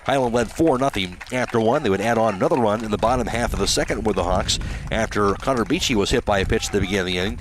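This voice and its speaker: A man's voice: lowish voice, quick pace, slight background noise. An American man working as a radio sportscaster, giving a post-game baseball recap.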